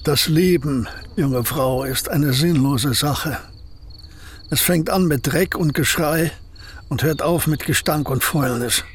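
A man's voice: a little high, slow pace, a little hiss or hum. An older man acting in a radio drama, playing a gloomy aging painter who talks in a curt, dry and scornful way. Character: gloomy aging male painter, bitter and sardonic.

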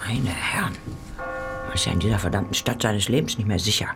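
An actress playing a coarse, cheeky Victorian streetwalker in a German radio play, with a breathy, muttering delivery. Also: female voice, extremely low pitch, brisk, noisy background. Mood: grumbling. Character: brash, foul-mouthed female Victorian streetwalker, flirty, jumpy.

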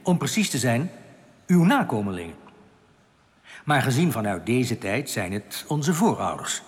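Solemn rebel in cavernous reverb. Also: male voice, medium pitch, big pitch swings, a little slow. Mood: grave explaining. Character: solemn male underground rebel giving grave warnings. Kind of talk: radio drama acting. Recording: long echoing reverb.

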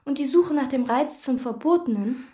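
An actress playing a withdrawn, meticulous chambermaid who speaks precisely and detachedly, in radio drama and inner monologue. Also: female voice, high-pitched, moderate pace, dark tone, natural voice. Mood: flat. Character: meticulous, withdrawn woman chambermaid obsessed with cleaning. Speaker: hotel chambermaid.

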